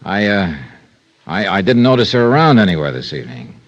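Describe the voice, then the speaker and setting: An actor playing a cool, probing American investigator in a radio detective drama, polite and measured in his talk. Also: lowish voice, big pitch swings. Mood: quietly probing. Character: cool, probing hard-boiled male investigator. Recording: muffled vintage radio recording.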